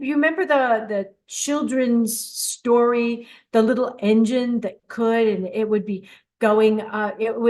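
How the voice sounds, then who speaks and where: An older woman hosting a radio show alone, talking in a chatty, encouraging way with frequent restarts. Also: fairly high pitch. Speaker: host of a dream radio show. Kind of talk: casual solo radio talk.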